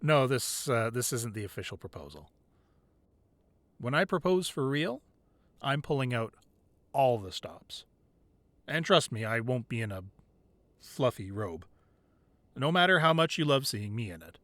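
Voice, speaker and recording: Man acting as tender gangster boyfriend, animated intonation, dry close sound, soft intimate delivery, weary, protective, ASMR roleplay. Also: mid-range voice, a little slow, long pauses, dry recording. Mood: playful, flustered. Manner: soft, intimate, drifting into breathy whispers.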